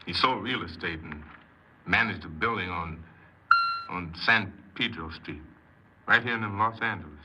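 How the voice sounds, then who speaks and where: Deep-voiced, halting, troubled confessing criminal. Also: male voice, bright, forceful tone, heavily acted. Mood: wistful pride. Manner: hesitant, halting, earnest. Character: troubled, defensive confessed male criminal, a self-important hypnoanalyst. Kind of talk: 1950s radio crime drama acting. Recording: very noisy, muffled 1950s radio recording.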